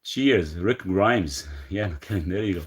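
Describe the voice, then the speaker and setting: A man's voice: low-pitched, unhurried, husky. A man from Lebanon hosting a live stream from his rural home, casually reading viewer questions aloud and answering them. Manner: relaxed, rambling, reads comments aloud then answers. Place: rural property, country home.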